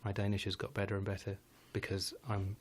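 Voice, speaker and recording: Guy with a lowish voice rambling on a live chat show, lots of restarts and little laughs. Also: completely flat delivery, fast. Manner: rambling, self-correcting, laughs often. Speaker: guest musician. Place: home studio in Denmark.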